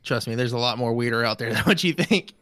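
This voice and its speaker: A man's voice: medium pitch, fast, fairly bright tone, no echo, clean studio sound. A man hosting an interview podcast, chatty and rambling with frequent restarts. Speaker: podcast host.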